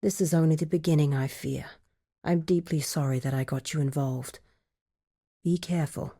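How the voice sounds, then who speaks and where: A woman playing a composed, shrewd criminal guild woman in a video game, guarded and wry, speaking in a measured, formal, articulate way. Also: low voice, fairly even intonation, warm tone. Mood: regretful, worried. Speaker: video game quest-giver character. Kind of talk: scripted video game dialogue.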